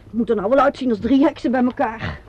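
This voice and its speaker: A teasing, high-voiced theatre woman, muffled recording. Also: quick pace, husky. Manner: teasing, bantering, flippant. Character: self-possessed, teasing theatre woman named Liz. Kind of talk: radio drama acting. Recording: dull lo-fi radio play recording.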